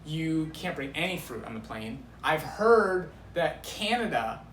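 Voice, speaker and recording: A man's voice: a little high, very animated, unhurried, a bit bright. An upbeat American man chatting casually as a guest, giving practical tips with enthusiasm. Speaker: guest, diabetes coach. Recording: echoey room with faint noise.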